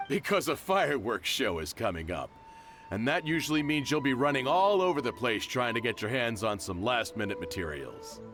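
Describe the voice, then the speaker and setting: A kindly middle-aged shopkeeper in a game, generous and quick to chuckle. His pitch rises and falls a great deal. Game music plays clearly behind him. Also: sing-song, a bit bright. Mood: jovial. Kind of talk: English game dub.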